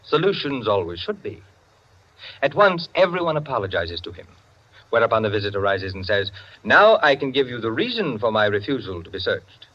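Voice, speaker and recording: A man's voice: fairly low pitch, big pitch swings. Smug clubman, 1940s radio drama, theatrical rhetoric, widely swinging intonation, muffled vintage broadcast. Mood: savoring, dramatic. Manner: pompous, theatrical, rhetorical, savoring pauses. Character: opinionated, self-satisfied clubman raconteur lecturing his friends. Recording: dull, band-limited 1940s radio recording.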